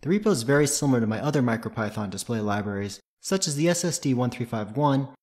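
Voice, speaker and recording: A man's voice: medium pitch, expressive, quick pace, a little mellow. A man presenting a tutorial, reading scripted technical narration in a methodical, matter-of-fact way.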